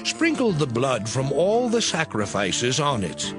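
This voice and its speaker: An actor playing a commanding king of Judah giving deliberate, authoritative orders in a dramatized audio Bible. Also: medium pitch, huge pitch swings. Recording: music under the voice.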